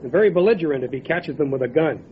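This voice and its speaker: A world-weary male lawman, acted by a man talks in a wry, folksy, matter-of-fact way in a radio drama. The voice sits a little high, and the recording is thin and tinny with faint noisy ambience. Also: animated, quick pace, lightly acted. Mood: wry, dismissive. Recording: tinny phone-like band, noisy outdoor ambience.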